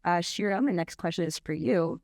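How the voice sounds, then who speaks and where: Low-voiced woman moderating a panel. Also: a little mellow, dry recording. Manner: formal introductions, measured. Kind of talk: hosting a live panel. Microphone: handheld microphone.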